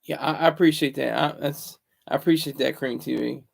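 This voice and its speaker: A man hosting a casual livestream from a room at home, deliberately keeping his voice low and hushed, often halting and repeating words as he talks to viewers. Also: steady tone, creaky. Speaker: livestream host.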